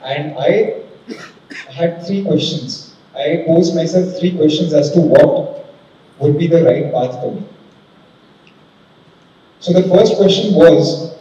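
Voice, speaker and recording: A man's voice: fairly high pitch, slow, long pauses, warm tone. Earnest young man giving a talk. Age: 20s. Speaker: guest speaker, civil judge. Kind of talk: motivational talk. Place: large conference hall. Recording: echoey hall, distant and dull sound, faint noise.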